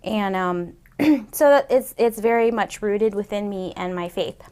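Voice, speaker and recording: A woman's voice: mid-range voice, measured pace, a little mellow, smooth. A woman in her late thirties from Seattle, a comedian guest chatting casually in an interview, rambling with lots of fillers. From Seattle, Washington, USA. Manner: rambling, chatty, many "like" and "you know" fillers.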